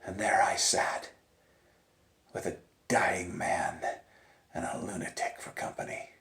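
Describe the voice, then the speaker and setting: A man telling a dramatic first-person story in a theatrical manner, moving between hushed tones and urgent cries. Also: deep voice, level tone, slow pace, gravelly, whispered. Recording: echoey, roomy sound.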